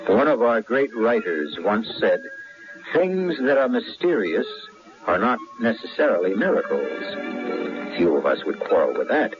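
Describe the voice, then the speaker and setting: A man hosting a radio mystery series, narrating in a measured, literary, scripted style. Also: fairly low pitch, very animated. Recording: dull lo-fi radio broadcast, music.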